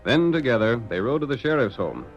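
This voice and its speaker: Dramatic male narrator, lively, muffled 1938 radio. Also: medium pitch, brisk. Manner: dramatic, animated. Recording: muffled old radio recording with music.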